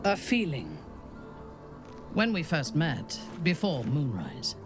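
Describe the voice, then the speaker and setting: A woman playing a proud, vengeful, long-lived dark elf noblewoman in a video game, speaking in a measured, guarded, formal manner. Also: sits low. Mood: tender, candid. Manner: measured, deliberate, grave, formal phrasing. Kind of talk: dramatic video game acting. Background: background music.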